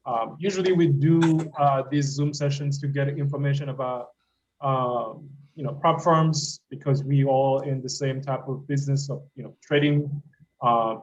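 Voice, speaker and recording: Man hosting over dull, hissy call audio. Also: mid-range voice. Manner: rambling with many fillers like "you know". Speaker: host. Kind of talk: casual video call interview. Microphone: video call.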